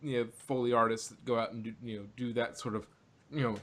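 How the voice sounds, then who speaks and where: A man's voice: mid-range voice, fairly even intonation, quick pace, a bit bright. Mid-voiced man, rambling casually. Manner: rambling, thinking aloud. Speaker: podcast host reviewing audio dramas. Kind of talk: casual group discussion.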